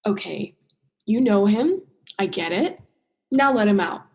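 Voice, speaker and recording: A woman's voice: mid-range voice, very animated, measured pace, a little mellow. A young woman playing an earnest, exasperated human heroine in a radio play, with a direct, emotional delivery. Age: young adult. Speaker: drama student actor.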